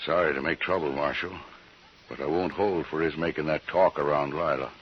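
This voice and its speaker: A slow-spoken, rough and lonely homesteader with a very low rural American voice. He sounds like a 1950s radio western, dull and faintly noisy. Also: fairly even intonation. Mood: sheepish. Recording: muffled, hissy old radio recording.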